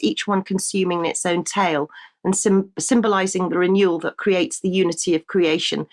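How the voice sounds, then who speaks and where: A woman with a British accent presenting a news show, her voice a little croaky, chatting with fillers and restarts. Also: mid-range voice. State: slightly croaky voice. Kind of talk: casual news commentary.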